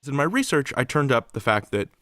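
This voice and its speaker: A man's voice: mid-range voice, sing-song, a little fast, fairly bright tone, no room sound. A man hosting a podcast interview, asking conversational questions.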